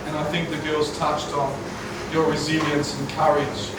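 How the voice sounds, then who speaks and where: Groom, loud and sincere, light jokes; reception hall, distant mic, heavy echo. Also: male voice, fairly high pitch, flat delivery, moderate pace, background noise. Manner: heartfelt, with a few light jokes. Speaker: groom giving wedding speech. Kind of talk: prepared wedding speech. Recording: echoey hall, voice from far off.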